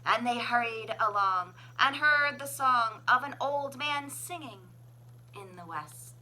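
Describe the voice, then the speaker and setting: A woman reading a children's picture book aloud as a librarian, with expressive, sing-song, deliberate phrasing. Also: a little high, low-quality recording. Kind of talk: children's storybook read-aloud.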